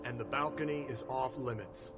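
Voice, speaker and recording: A man playing a middle-aged, weary police captain in a video game, authoritative and deliberate. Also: mid-range voice, close to an everyday voice. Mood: frank, resigned. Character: weary, seasoned male police captain, gruffly commanding. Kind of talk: video game cutscene voice acting. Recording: music under the voice.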